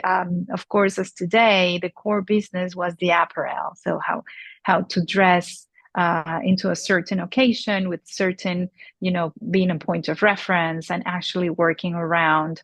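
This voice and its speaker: A woman in her forties from Peru, answering interview questions in a halting way with many fillers and restarts. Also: fairly low pitch, fairly even intonation, a bit bright, no echo. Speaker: luxury industry guest. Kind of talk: conversational interview.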